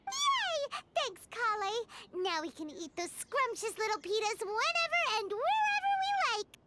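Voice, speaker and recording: A woman voicing a tiny, excitable female fairy companion in a video game, with a squeaky childlike voice. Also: unhurried, very noisy, heavily acted. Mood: delighted.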